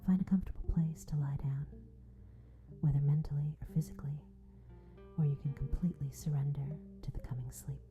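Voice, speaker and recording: A woman narrates a scripted guided sleep meditation in a breathy, unvoiced whisper, soothing, gentle and unhurried in the manner of ASMR. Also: low-pitched, fairly even intonation, highs cut off. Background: music.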